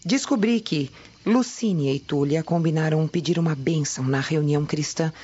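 A sly, deferential servant woman played by an actress sharing secrets in a radio drama, with a Brazilian accent. Her low voice is measured and formal, on a dull recording. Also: mellow, natural voice. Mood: confiding. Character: deferential, conspiratorial maidservant spying for her mistress. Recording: dull radio drama audio, faint noise.

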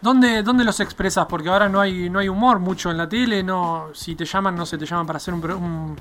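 A woman's voice: on the low side, brisk, a bit bright. Argentine woman co-hosting over faint music. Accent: Argentine, Buenos Aires. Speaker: radio show co-host. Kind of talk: casual radio interview chat.

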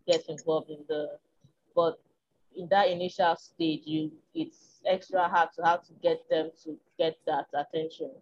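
A woman's voice: low voice, dull audio. A woman with a Nigerian accent and a small, tiny voice, talking frankly and reflectively as an interview guest, with frequent ums. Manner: reflective, frank, with filler ums and soft laughs. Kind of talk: candid conversational chat.

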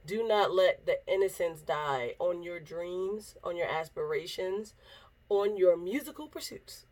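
A woman's voice: on the low side, animated, measured pace, darkish tone. A young woman in her late twenties hosting a podcast, playful and mock-inspirational. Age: young adult. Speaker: podcast host. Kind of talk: casual chat.

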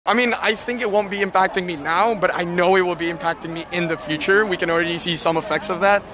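A man from Indonesia with a fairly high voice answers earnestly in a street interview. He speaks in a measured way while a loud crowd rumbles around him outdoors. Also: steady tone. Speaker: interviewee at a climate strike.

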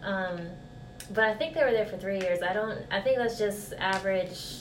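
A woman in her thirties being interviewed, answering in a relaxed, chatty way. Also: medium pitch, moderate pace. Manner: relaxed, chatty, laughs easily. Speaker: interview guest. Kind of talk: casual conversational interview. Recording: roomy with steady hiss.

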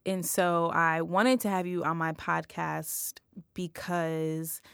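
A woman's voice: fairly low pitch, fairly even intonation, takes it slowly, vocal fry, a bit bright, clear, resonant tone, no room sound, clean studio sound. A woman hosting a podcast, chatting enthusiastically with a friend and often restarting her sentences. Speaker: podcast host. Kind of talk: casual interview chat.

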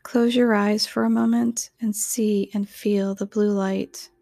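A woman reading a scripted guided meditation in a soothing, measured, instructional way, with a soft voice that turns breathy at phrase ends. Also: mid-range voice, flat delivery, dry recording.

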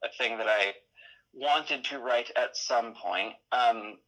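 A man chatting casually as an interview guest, halting often as he thinks aloud. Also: mid-range voice, bright, forceful tone, low-quality recording. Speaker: interview guest, audio drama creator.

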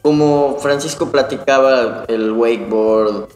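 A man's voice: medium pitch, takes it slowly, a little mellow. Young man podcasting in heavy echo. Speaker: podcast co-host. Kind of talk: conversational self-help podcast talk. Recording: echoey long reverb, music behind the voice. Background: music.

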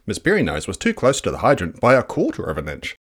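A narrator reading a children's book aloud in a dramatic, playful, expressive style. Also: medium pitch, fast. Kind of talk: expressive storybook read-aloud.

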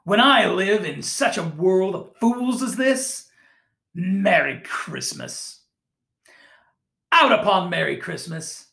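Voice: medium pitch, lively intonation, takes it slowly, creaky, fairly bright tone, roomy sound, exaggerated voice. A reader voicing a bitter, miserly old man who answers with curt scorn, read from a storybook. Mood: scornful.

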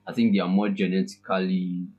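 A man, a podcast guest in casual chat, thinking aloud with pauses and frequent laughs. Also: deep voice, level tone, darkish tone, husky, lo-fi sound. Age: 20s.

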